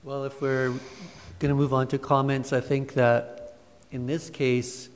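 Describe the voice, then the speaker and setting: A man on a planning commission giving his measured, deliberate view. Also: mid-range voice, flat delivery. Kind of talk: formal meeting commentary. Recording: reverberant meeting room.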